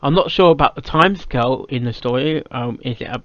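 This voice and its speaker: A British man giving casual off-the-cuff reaction commentary, often halting and repeating his words and phrases as he goes. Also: medium pitch, fairly bright tone, no echo. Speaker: reaction video host. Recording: dull lo-fi microphone sound.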